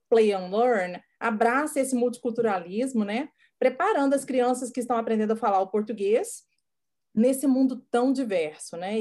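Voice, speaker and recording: Woman host with a slightly high voice. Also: a little mellow. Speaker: interview host. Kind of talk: conversational interview.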